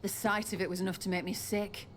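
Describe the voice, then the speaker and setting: An actress voicing a wary townswoman in a video game, speaking in a measured way. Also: mid-range voice, flat delivery, a little hiss or hum, close to an everyday voice. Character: wary adult townswoman, relieved but uneasy.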